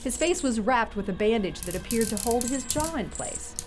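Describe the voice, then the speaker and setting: A woman's voice: a little high, animated, normal speed. Woman narrator of an audio drama, music underneath. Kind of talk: scripted storytelling narration for audio theater.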